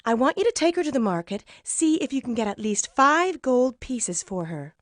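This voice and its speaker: A woman's voice: a little high, big pitch swings, moderate pace, no echo, clean studio sound. A woman voicing a boy's exasperated mother in a children's story, emphatic and dramatic. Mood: apologetic, practical. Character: exasperated scolding mother of a foolish boy.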